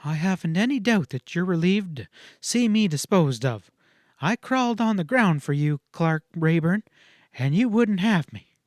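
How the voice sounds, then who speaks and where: A man voicing a scornful, quick-tempered young frontier woman in a western audiobook, speaking in emphatic, cutting outbursts. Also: sits high, lively intonation, dry recording, studio quality, pitched above the performer's own voice. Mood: bitter, teasing.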